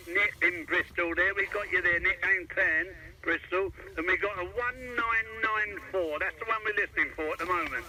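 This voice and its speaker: A man's voice: sits high, pushed and bright. A British man heard over CB radio, running a net with informal, rambling calls and chat. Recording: very noisy, distant CB radio reception.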